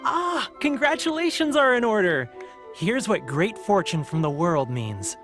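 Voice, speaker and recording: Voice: medium pitch, sing-song, a little slow, fairly bright tone, soft background music. A performer voicing a genial, courteous fortune-slip stall keeper in a video game, with a showy, welcoming manner. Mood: congratulatory.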